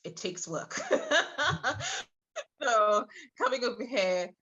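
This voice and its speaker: A woman in her thirties from Trinidad, a podcast guest telling her personal story with many hesitant pauses. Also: mid-range voice, expressive, a bit bright. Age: 30s. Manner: hesitant, many filler pauses, laughs between thoughts. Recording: muffled lo-fi audio.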